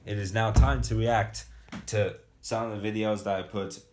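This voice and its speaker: Excitable eighteen-year-old guy in an echoey room. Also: on the low side, normal speed. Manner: excitable, laughs often, rambling. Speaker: vlogger reacting to his old videos. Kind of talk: casual chatty reaction commentary. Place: small hot room without air conditioning.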